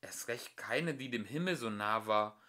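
A man's voice: lowish voice, animated, unhurried, bright, forceful tone. A man narrating a children's story expressively, as an amateur audiobook reader.

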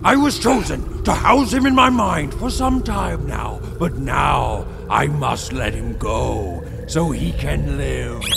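An actor playing an unhinged, gleeful villain admiral in a comedic audio drama, theatrical and mock-genteel. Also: male voice, on the high side, lively intonation, slow pace, gravelly, hardly any pauses, a crowd in the background, full character voice. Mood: fanatical.

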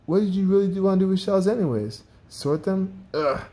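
A reader voicing a greedy, excitable male packrat from a children's storybook, theatrical and exclamatory, whiny when upset. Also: medium pitch, fairly quick, pitched above the performer's own voice, lightly acted. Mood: bored, annoyed.

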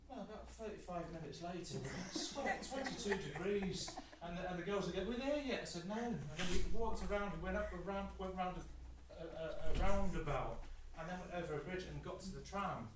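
Middle-aged man from Scotland, chatty storyteller, somewhat high voice, heard from far off in an echoey hall. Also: fairly quick, few pauses, noisy background. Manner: chatty anecdotal, with laughs and restarts. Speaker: spiritual medium giving a talk. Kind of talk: storytelling talk to an audience. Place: large hall with a painted mural, indoors. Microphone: distant audience camera phone.

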